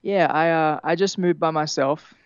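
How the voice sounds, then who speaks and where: A young man with an Australian accent softened by years in America, chatting loosely in an interview with plenty of fillers and restarts. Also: fairly high pitch, fairly even intonation, moderate pace, clear, resonant tone, no echo, lo-fi sound. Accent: Australian, partly Americanized. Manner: rambling, many fillers and restarts, laughs easily. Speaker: musician guest. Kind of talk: casual interview chat.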